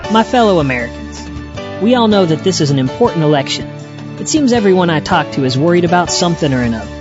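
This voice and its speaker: A man's voice: fairly high pitch, big pitch swings, fast talker. A man playing an earnest, folksy, vague politician giving a parody campaign address. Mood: solemn. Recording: dull, music clearly behind.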